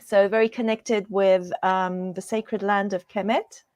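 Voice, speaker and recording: A woman from the UK introducing herself as an interview guest, talking casually in an earnest, halting manner. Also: medium pitch, level tone, a little mellow, no echo, clean studio sound. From born and raised in the UK. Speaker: guest, coach.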